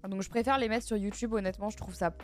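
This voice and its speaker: A young woman from France, chatting casually with her live viewers and answering their chat messages, speaking into a boom mic in a small room. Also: fairly even intonation, quick pace, soft background music. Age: young adult. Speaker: streamer. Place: small dim room, indoors. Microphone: studio mic on boom arm, headset on.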